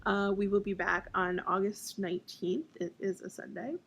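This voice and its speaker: A woman's voice: medium pitch, level tone, moderate pace, vocal fry, a bit bright, slight background noise. A woman narrating as an author and storyteller. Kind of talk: scripted audiobook narration.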